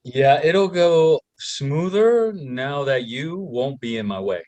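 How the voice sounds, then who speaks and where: A man plays a cocky, teasing private investigator. His voice sits a bit high for a man and moves in lively swings, with a dry close sound, in a table-read style. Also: slow pace, dry recording.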